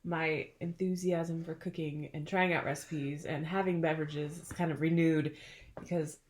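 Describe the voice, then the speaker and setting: A woman's voice: sits low, fairly even intonation, normal speed, darkish tone, a little hiss or hum. A middle-aged woman hosting a casual chat, telling stories in a chatty, playful way and laughing often. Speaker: podcast host.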